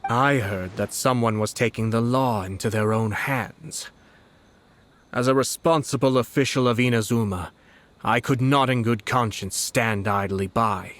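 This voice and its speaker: A man playing a refined, shrewd noble commissioner in a video game, formal and measured. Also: medium pitch, a bit bright, lightly acted. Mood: firm, formal. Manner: formal, measured, precise wording.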